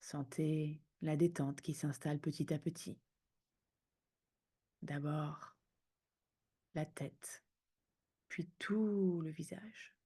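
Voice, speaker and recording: Woman, meditation guide, dark mellow timbre, hushed, soothing, unhurried phrases, frequent pauses. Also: low-pitched, fairly even intonation, slightly rough. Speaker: yoga nidra guide.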